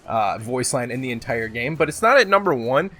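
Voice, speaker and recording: A man's voice: medium pitch, sing-song, fairly quick, a little hiss or hum. A man with a sore throat giving casual, rambling, unscripted countdown commentary with some swearing and slang. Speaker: video host, gaming commentator. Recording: loud music behind the voice. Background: music.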